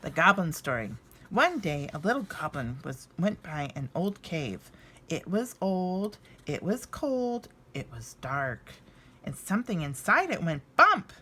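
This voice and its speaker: A woman narrating a children's storybook expressively, with dramatic pauses and emphasis. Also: on the low side, big pitch swings, pushed and bright, husky. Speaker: storybook reader.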